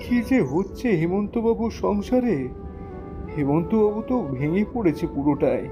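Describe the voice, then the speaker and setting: A man's voice: high voice, lively intonation, moderate pace, music in the background. An actor playing a troubled village gossip in a horror cartoon, lamenting as he shares bad news. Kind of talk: Bangla horror cartoon dialogue. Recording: dull lo-fi recording.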